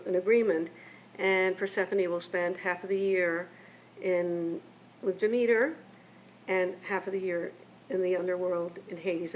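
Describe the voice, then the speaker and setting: An older woman, raised between Mexico and the United States, reads a prepared keynote speech in a measured, reflective way from her pages. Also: mid-range voice. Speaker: keynote speaker and memoirist. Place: lecture event. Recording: muffled lo-fi with faint hiss.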